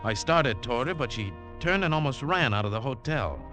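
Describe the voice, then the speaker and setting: A man's voice: medium pitch, animated, brisk, lightly acted. An actor playing a tough, wary adventurer who tells his story in clipped, world-weary 1940s radio drama style. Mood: hard-boiled, brooding. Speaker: lead actor and first-person narrator. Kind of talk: 1940s radio adventure drama acting and hard-boiled narration. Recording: 1940s radio recording, music underneath.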